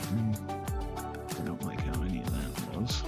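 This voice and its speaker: A man's voice: low-pitched, sing-song, a little slow, creaky, loud music behind the voice. A man performing the lines of a story-heavy visual novel as its sole voice actor. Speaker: game player voicing each character. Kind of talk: acted narration of a visual novel.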